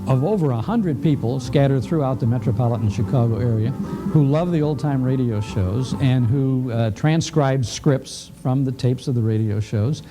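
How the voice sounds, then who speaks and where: A man giving an unscripted interview explanation as a guest, measured, with deliberate pauses and repeated phrases. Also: mid-range voice, music in the background, low-quality recording. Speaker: guest, old-time radio broadcaster.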